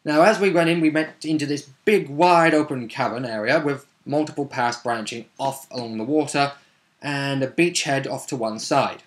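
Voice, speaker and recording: A man's voice: medium pitch, moderate pace. A man casually recounting a tabletop game session, rambling along with wry, informal asides.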